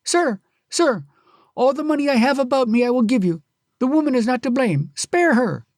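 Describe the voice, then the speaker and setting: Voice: medium pitch, sing-song, normal speed, a little mellow, no room sound, studio quality, pitched above the performer's own voice. A storyteller voicing a polite, earnest young male traveler who pleads courteously. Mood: pleading.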